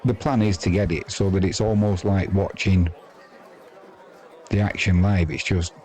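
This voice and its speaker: Deep-voiced Englishman chatting cricket. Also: steady tone, a little slow, a little mellow. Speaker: live sports stream commentator and host. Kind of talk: casual ad-libbed live cricket commentary and chat. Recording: crowd noise behind the voice.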